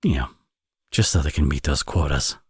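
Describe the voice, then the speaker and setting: Man acting a deep, husky flirtatious villain. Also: animated, dry recording, clean studio sound, full character voice. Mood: wry, playful. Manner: teasing, sardonic, drawling with drawn-out phrases. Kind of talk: ASMR romance audio roleplay, intimate acting.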